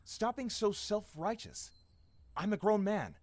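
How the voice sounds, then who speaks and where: A man's voice: high-pitched, very animated, a little slow, darkish tone, no echo. An actor playing a blunt, sarcastic, defensive man in an audio drama. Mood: accusing. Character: blunt, exasperated grown man, defensive young traveler.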